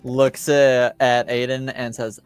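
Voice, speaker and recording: A man's voice: medium pitch, flat delivery, slow, smooth. A man narrating a tabletop game as game master, improvising hesitantly and searching for words. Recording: music behind the voice. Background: background music.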